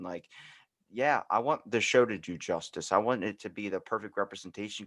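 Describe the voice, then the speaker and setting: A man's voice: lowish voice, fairly bright tone. A man hosting a casual podcast chat in an effusive, sweary way, full of bro-ish slang. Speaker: podcast host.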